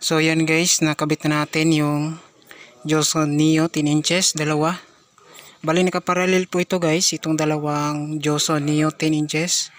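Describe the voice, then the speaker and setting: A Filipino man giving a casual hands-on product demonstration, speaking haltingly with frequent short interjections. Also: on the high side, completely flat delivery, a bit bright. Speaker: product reviewer.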